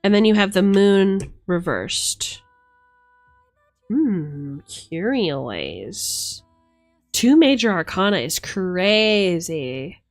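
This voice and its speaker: A woman's voice: medium pitch, big pitch swings, extremely slow. A woman giving a casual, chatty tarot reading on a live stream, musing aloud in informal language. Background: background music.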